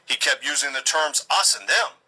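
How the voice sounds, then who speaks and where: Man playing a sharp, husky, sanctimonious settler leader. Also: mid-range voice, animated, normal speed, exaggerated voice. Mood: smug. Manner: self-righteous, condescending, pleading rhetoric.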